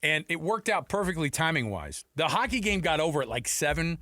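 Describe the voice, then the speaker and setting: A man hosts sports talk radio with a bright, forceful voice that sits a little high. His delivery is lively and swings around a lot, playful and informal, on a close, dry studio mic. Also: brisk, no room sound. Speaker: sports radio show host. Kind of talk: casual sports talk banter.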